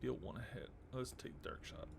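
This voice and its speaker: A man giving casual live commentary on a game he is playing, muttering his moves aloud. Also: moderate pace. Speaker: game streamer. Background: game music.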